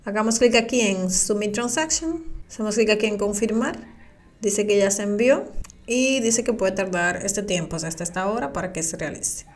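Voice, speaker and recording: A woman narrating a screen-recorded software tutorial, patiently explaining each step and speaking directly to her viewers. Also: medium pitch, moderate pace. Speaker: crypto tutorial creator. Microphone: voice-over on screen recording.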